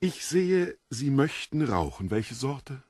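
An actor playing a mysterious foreign stranger, the Devil in disguise, courteous and theatrical, relishing morbid details in an audiobook drama. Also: male voice, mid-range voice, sing-song, slow pace, darkish tone, breathy edge, dry recording, clean studio sound, heavily acted. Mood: suavely obliging.

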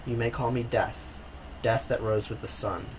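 A young man voicing Death as a dark male stranger, cold and stern, pronouncing his words flatly. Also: medium pitch, normal speed, background noise, natural voice.

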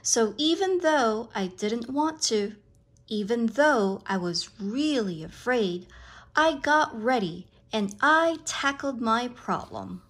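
Slow, animated woman reading to children. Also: on the high side. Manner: deliberate, dramatic phrasing for young listeners. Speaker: storyteller reading a picture book to children. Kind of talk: expressive children's story read-aloud.

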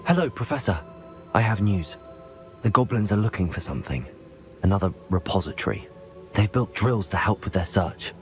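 A man's voice: lowish voice, lively intonation, normal speed, music in the background, natural voice. An actor playing a polite, earnest young wizard student reporting news to his teachers in a game. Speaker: game protagonist.

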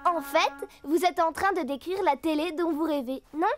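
A woman's voice: extremely high pitch, normal speed. A woman voicing a bossy little girl who takes charge in a children's cartoon. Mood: cheerful announcing. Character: bright, bossy little blonde girl leading animal friends. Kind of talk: French children's cartoon dubbing. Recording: loud music over the voice.

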